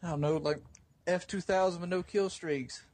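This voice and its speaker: A man in his twenties with a raspy voice, chatting casually as a podcast host. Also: high-pitched, fairly even intonation, normal speed, darkish tone, no room sound. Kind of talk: casual chat.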